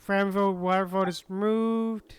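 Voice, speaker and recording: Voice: medium pitch, little pitch movement, measured pace, slight background noise. A streamer of unclear sex giving casual, laid-back gameplay commentary, half muttering to themself. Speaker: streamer playing a game.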